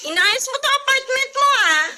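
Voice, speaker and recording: A woman's voice: very high-pitched, animated, normal speed, bright, forceful tone, slight background noise, heavily acted. An actress playing a cheerful, chatty young woman just out of college. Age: young adult.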